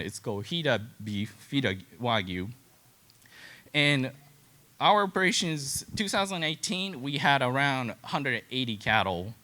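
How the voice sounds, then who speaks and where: Japanese guy w accent giving an informal talk, bright voice, kinda halting simple english, non-native. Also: mid-range voice. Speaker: cattle genetics specialist, presenter. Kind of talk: informal educational presentation with slides.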